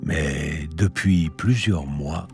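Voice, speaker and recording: A man's voice: rumbling low, drawn-out words, darkish tone, faint music behind. A man reading scripted narration for an audio drama.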